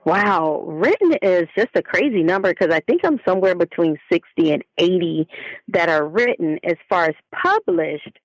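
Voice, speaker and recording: A woman's voice: lowish voice, lively intonation, moderate pace, dry recording. Woman storyteller in a dull, dry recording. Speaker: guest, children's book author. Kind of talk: conversational interview storytelling.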